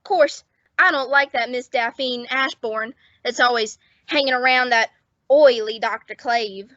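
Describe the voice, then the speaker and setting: Squeaky-high smitten young bellboy, played by a woman. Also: measured pace, pushed and bright, dry recording. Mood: eager, confiding. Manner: flustered, hesitant, eager delivery. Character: eager, smitten young bellboy, awkward and gullible. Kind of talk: old-time radio comedy acting. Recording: dull lo-fi close dry recording.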